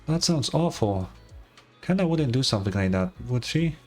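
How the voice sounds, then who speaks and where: A man's voice: medium pitch, big pitch swings, moderate pace, soft background music. A man voicing a worried young child from a game, loyal and earnest, with a hesitant, pleading manner. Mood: alarmed, pleading.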